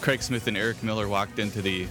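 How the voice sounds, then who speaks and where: A man's voice: lowish voice, fairly even intonation, fast talker, over music. A man hosting a podcast in casual, jokey conversation over an internet call, prone to chuckling. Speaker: podcast host. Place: remote Skype call.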